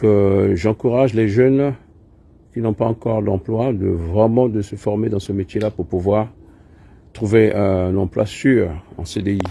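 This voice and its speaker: A man's voice: fairly low pitch, creaky, warm tone. A man, an IT trainer, giving an informal promotional address in hesitant, repetitive phrases. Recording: faint background noise.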